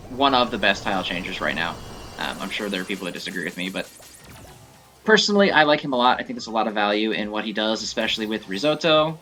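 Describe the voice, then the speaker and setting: A man's voice: mid-range voice, sharp tone. A man giving casual, opinionated gameplay commentary, thinking aloud in a conversational way. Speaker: gaming video host.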